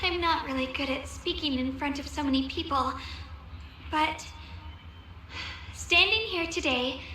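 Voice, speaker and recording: A very high young female voice plays a modest, gentle hero in a video game. She speaks slowly and sincerely, echoing as if in a large space, over faint music. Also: a little hiss or hum. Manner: hesitant, sincere, unhurried. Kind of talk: heartfelt public speech in game. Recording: distant echoing speech, soft music behind.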